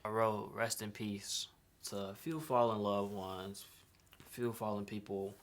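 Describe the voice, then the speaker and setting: A man's voice: on the low side, steady tone, measured pace. Laid-back young man from Chicago. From Chicago, USA. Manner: laid-back, street slang, asks "right?" often. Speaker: sneaker designer. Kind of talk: casual vlog explanation.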